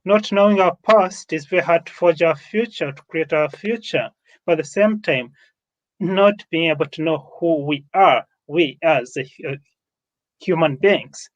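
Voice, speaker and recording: Higher-pitched Ugandan man speaking publicly. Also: measured pace, highs cut off. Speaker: summit host and speaker. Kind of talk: unscripted public speaking at an online summit.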